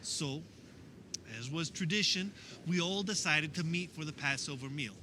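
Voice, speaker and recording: A man's voice: a little high, pushed and bright, a little hiss or hum. A man playing an earnest fisherman disciple in a religious radio drama, recounting memories in a conversational, wistful monologue. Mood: earnest, reflective. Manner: conversational, wistful storytelling with wondering pauses.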